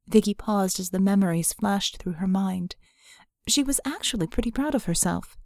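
A woman's voice: mid-range voice, animated, moderate pace, a little mellow, no echo, studio quality. A woman reading scripted fiction as an audiobook narrator.

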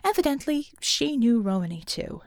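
A woman's voice: a little high, big pitch swings, moderate pace, dry recording. Woman narrating fiction, close and dry. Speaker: audiobook narrator.

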